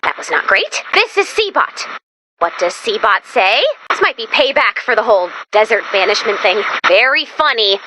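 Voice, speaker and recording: Game role: neurotic brainy female robot, high-pitched and very lively, expressive, easily exasperated. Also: background noise. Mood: excited, wry. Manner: expressive, exasperated, quick to react. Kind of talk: animated video game voice acting.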